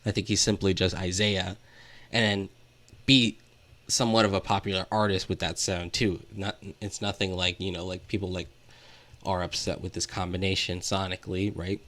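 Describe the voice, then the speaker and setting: A man's voice: low-pitched, little pitch movement, moderate pace, fairly bright tone. A man co-hosting a podcast, talking casually and rambling through his thoughts with lots of "like" as filler. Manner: rambling, hesitant, heavy use of "like". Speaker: podcast co-host. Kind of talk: casual music discussion.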